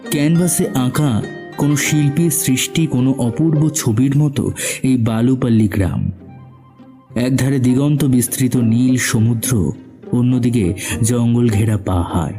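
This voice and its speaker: A man's voice: mid-range voice, unhurried, mellow, soft background music. A man narrating a scripted suspense story as the narrator of an audio drama.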